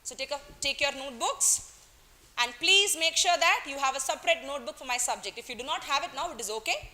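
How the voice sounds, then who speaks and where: Lively bright-voiced woman teacher. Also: sits high, fast talker. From Kerala, India. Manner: playful, teasing, coaxing students, mixes in Hindi words. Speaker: economics teacher. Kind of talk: classroom lecture. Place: classroom.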